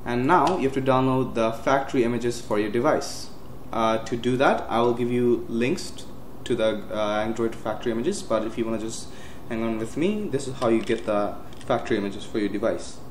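A man's voice: mid-range voice, a little fast, few pauses. Casual man walking through computer steps. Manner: casual, plain step-by-step instructions with many uhs and self-corrections. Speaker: tech tutorial host. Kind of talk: screen-recorded software walkthrough. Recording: roomy with faint hiss.

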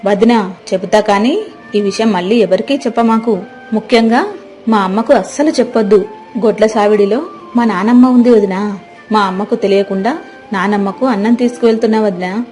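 Woman as caring, fearful young village girl confiding secrets, mellow medium-pitched voice. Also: steady tone, moderate pace, soft background music, close to an everyday voice. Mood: secretive, anxious. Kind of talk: scripted moral-story dialogue.

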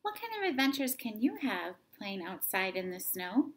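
Middle-aged female story time host, mid-pitched, expressive picture book read-aloud for little kids. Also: normal speed, a little hiss or hum. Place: indoors at home, sitting by a stone fireplace.

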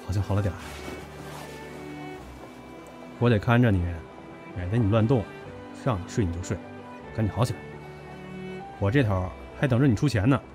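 An actor in an audio drama playing a brash, possessive younger businessman, a blunt, cocky and teasing lover who bickers gruffly. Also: fairly low pitch, quick pace, long pauses, over music, close to an everyday voice. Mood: teasing, tender.

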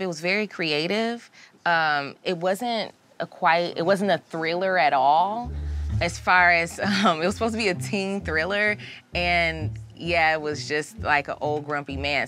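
A woman's voice: fairly low pitch, a little slow, pushed and bright. A woman in her thirties chatting casually on a comedy show in a studio. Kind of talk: casual banter. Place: podcast studio. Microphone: studio microphone.

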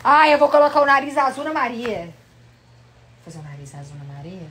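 A woman's voice: fairly high pitch, moderate pace, fairly bright tone. A young woman playing with her babies at home, chanting rhymes in sing-song baby talk into a phone camera. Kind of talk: playful baby talk to small children. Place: home living room and kitchen, indoors. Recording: echoey room with faint noise.

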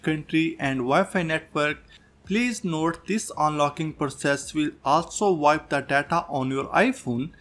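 A man's voice: on the high side, fairly bright tone. A man presenting a tech tutorial as a scripted voice-over, going through instructions step by step. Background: background music.

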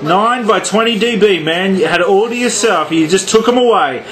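An Australian man operates a CB radio in a notably high voice. He talks in on-air slang and showy, repeated sign-offs. A light bed of radio static and noise sits around him. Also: normal speed, a bit bright, low-quality recording. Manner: repetitive, showy catchphrases. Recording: static-laced radio ambience, background noise.

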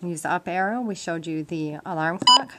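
A lower-pitched woman talks through a hands-on tutorial in a practical, conversational way. She explains each step informally. The recording is very noisy, like amateur handheld camera sound made indoors. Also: lively intonation, normal speed. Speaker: instructor demonstrating a gadget. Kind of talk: informal step-by-step how-to demonstration. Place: indoors at a wooden table. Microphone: handheld camera mic, off screen. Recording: noisy amateur camcorder sound with clicks and beeps.

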